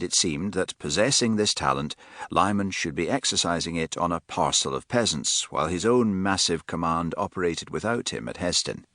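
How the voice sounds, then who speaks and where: A man with a very low voice reads a novel with a measured, expressive delivery. His pitch moves in a lively way rather than staying flat. The studio sound is dry, close and free of room echo. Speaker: audiobook narrator. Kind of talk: scripted narration.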